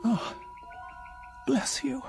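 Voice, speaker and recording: A woman's voice: extremely slow, over loud music. A woman playing an elderly, solitary invalid in a radio drama, agitated, insistent and pleading. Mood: relieved.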